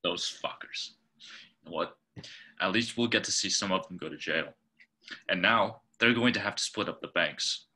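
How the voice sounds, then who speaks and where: A man's voice: deep voice, moderate pace, bright, forceful tone. A young man voicing an anxious, pushy male fund trader who keeps pressing to sell, read at a table read over a video call. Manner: urgent, insistent, pressing. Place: small home room. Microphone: webcam on a video call.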